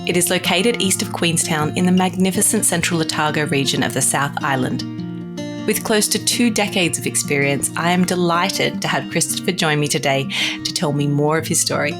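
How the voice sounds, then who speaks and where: A New woman hosting a podcast interview, friendly and quick to laugh. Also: low voice, over music. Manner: warm, laughs easily. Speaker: podcast host.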